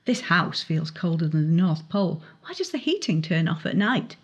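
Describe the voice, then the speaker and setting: A lonely teenage girl in a young adult story, voiced by a woman reader. She is anxious and plaintive, hesitating and stammering as she questions herself, with a slightly husky edge to the voice. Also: lowish voice, big pitch swings, normal speed, dull audio. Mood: lonely, aggrieved.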